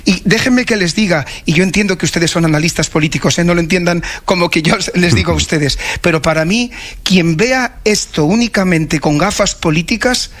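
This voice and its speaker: A man's voice: sits high, fairly quick, a bit bright. A man with a Spanish accent giving a live interview as an association president, speaking formally, solemnly and emphatically, saddened and shaken. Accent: Spain. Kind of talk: live radio phone interview.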